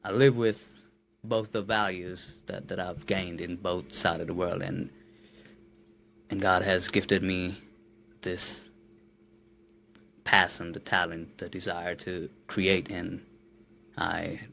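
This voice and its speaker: A man's voice: deep voice, level tone, takes it slowly, vocal fry, long pauses, a bit bright, slight background noise. A man in his late twenties from Nepal, with a Nepali accent, speaking earnestly as a podcast guest and often repeating words as he searches for his phrasing. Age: late 20s. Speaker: guest musician. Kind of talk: casual podcast interview.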